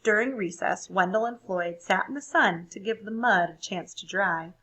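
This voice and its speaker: A woman reading a story aloud for children, expressive and deliberate, pausing to ask listeners questions. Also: mid-range voice. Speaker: storybook reader.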